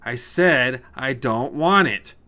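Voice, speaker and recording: A man voicing an angry, foul-mouthed homeowner in a short story, given to shouted, exclamatory outbursts. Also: mid-range voice, lively intonation, takes it slowly. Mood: irritated, defiant. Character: angry, foul-mouthed male homeowner, hot-tempered and defiant.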